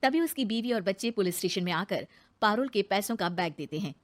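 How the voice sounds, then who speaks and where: A woman's voice: medium pitch, expressive, fairly quick. A woman narrator reading a scripted moral story in a dramatic storytelling style.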